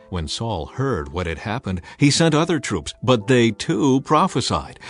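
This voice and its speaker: A man narrating a dramatized scripture reading in a measured, solemn storytelling manner. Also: mid-range voice.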